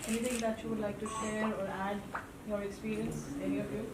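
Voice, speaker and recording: A woman's voice: mid-range voice, little pitch movement, a little fast. A woman interviewing guests at an event, chatty and friendly, switching between English and Hindi. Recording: echoey venue, distant mic, noisy.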